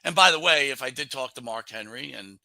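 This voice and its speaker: A man's voice: mid-range voice, a little fast, gravelly, sharp tone, dry recording. A man hosting a casual talk show, arguing insistently and circling back to his point. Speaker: wrestling talk show host. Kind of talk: casual argumentative discussion.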